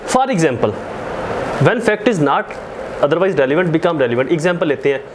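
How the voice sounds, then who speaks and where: A man with a Pakistani accent lectures in a clearly high-pitched voice. He is didactic, going back over terms and rewording them, switching into English for technical phrases. A faint layer of background noise sits under the recording. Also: lively intonation, slow pace. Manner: didactic, repeats and rephrases key terms. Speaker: law lecturer. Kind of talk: explanatory lecture mixing Urdu with English terms.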